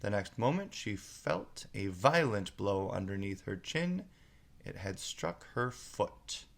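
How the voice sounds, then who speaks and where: A man's voice: fairly low pitch, very animated, slow pace, vocal fry. A man narrating a storybook aloud as a storyteller.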